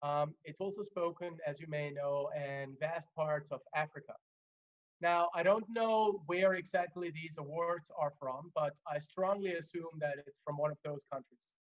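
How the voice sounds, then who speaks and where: Male lecturer, German native, slightly high voice, measured with fillers, muffled webinar sound. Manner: measured, many fillers and restarts. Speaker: legal trainer. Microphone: video call.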